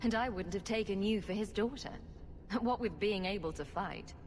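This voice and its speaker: A sarcastic woman rogue voiced by an actress in a fantasy game, dry and flippant. Her medium-pitched voice carries long reverb and faint noise behind it. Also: moderate pace, lightly acted. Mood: dry, teasing. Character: wry, sarcastic female rogue adventurer. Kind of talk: fantasy video game acting.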